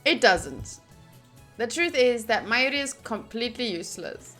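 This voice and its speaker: A woman streamer reading a visual novel's narration aloud during a playthrough, with expressive, gushing asides. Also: on the high side, a little slow, faint music behind. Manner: expressive, gushing asides between read passages.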